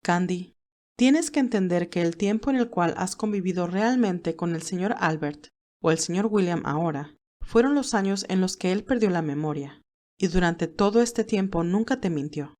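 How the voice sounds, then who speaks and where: A woman playing a wise, gentle older motherly mentor, speaking in a measured, reassuring way in an audio drama. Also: on the low side, close to an everyday voice. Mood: firm, reassuring. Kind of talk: audio drama character acting.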